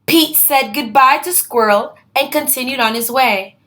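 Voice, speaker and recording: A woman's voice: high-pitched, unhurried, a bit bright. A woman reading a picture book aloud for young children, expressively, with simple asides to her listeners. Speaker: read-aloud host.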